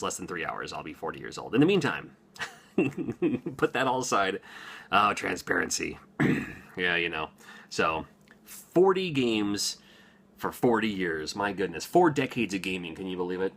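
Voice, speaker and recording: Chatty man with a bright forceful voice. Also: fairly low pitch, breathy edge. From Washington, USA. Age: 40s. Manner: rambling and chatty, many ums and asides, chuckles often. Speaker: gaming YouTuber. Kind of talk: casual unscripted monologue to camera.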